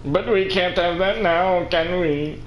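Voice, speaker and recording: A man voicing a scheming, gloating sorcerer villain in a game, in a pompous, drawn-out, theatrical manner. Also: sits high, dull audio. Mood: smug, mocking. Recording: roomy echo, faint background noise.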